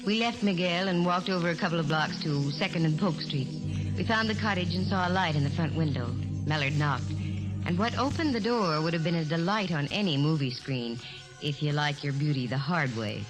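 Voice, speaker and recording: A woman's voice: deep voice, fairly quick, natural voice, low-quality recording. A woman playing a confident, wise-cracking private eye in 1940s radio acting, wry and slangy. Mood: wry narration. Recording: old 1940s radio recording, music behind.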